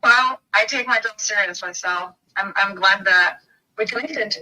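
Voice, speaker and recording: Woman, Bulgarian accent, bright voice, friendly businesslike phone talk. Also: medium pitch, measured pace, poor audio. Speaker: real estate agent. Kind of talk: phone call.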